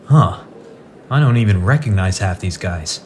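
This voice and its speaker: Gruff, weary superhero dad played by a man. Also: low-pitched, lively intonation, normal speed, very noisy. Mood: dry, wry. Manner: grumbling, dry. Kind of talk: radio play acting.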